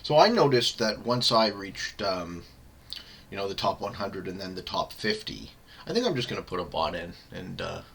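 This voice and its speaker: Low bright-voiced man hosting a podcast. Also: very animated, creaky, breathy edge. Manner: halting, many filler sounds. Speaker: podcast host. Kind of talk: casual gaming podcast chat.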